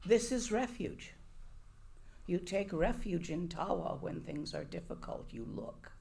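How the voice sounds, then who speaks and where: A woman's voice: on the low side, big pitch swings, a little slow, gravelly, dark tone. A woman giving a Buddhist dharma talk to a live audience, conversational and wry, often asking her listeners questions. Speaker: Buddhist teacher.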